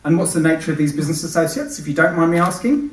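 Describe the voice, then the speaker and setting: A man voicing an older policeman who speaks deliberately and condescendingly, as if to small children. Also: a little high, lively intonation, voice pushed up, lightly acted. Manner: deliberate, as if addressing kindergarten. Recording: echoey hall, distant microphone.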